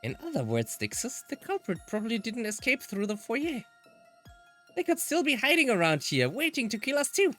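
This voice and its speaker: A man's voice: extremely high pitch, huge pitch swings, moderate pace, sharp tone, faint music behind, voice pushed up, heavily acted. A man who is a native German speaker voicing a level-headed, insistent young woman in a dramatic visual novel read-aloud. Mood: tense, reasoning.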